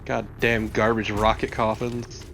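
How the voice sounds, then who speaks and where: A man's voice: fairly low pitch, little pitch movement, a little slow, creaky, fairly bright tone, a little hiss or hum. A man co-commentating on a video game in casual, freely profane chat. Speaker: let's play co-commentator.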